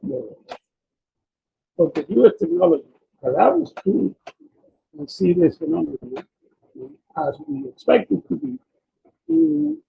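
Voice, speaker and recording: A man giving an explanatory academic slide presentation, speaking haltingly and often repeating and rephrasing himself. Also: sits high, very animated, gravelly, husky, lo-fi sound. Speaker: presenter of a technical talk.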